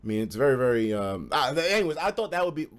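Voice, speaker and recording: A man's voice: medium pitch, fast talker. A young man with an American accent telling a casual story to a live chat, slangy and profane, acting out the dialogue as he goes. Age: young adult. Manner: animated, slangy and profane, acts out quoted dialogue. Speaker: streamer.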